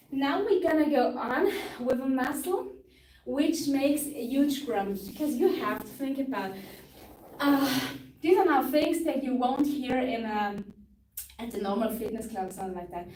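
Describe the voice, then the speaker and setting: A woman's voice: high voice, darkish tone. A young woman with a Tyrolean Austrian accent, a native German speaker, guiding a live stretching class and explaining each move as she demonstrates it, sometimes searching for English words. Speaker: stretching instructor. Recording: echoey room from far off, faint noise.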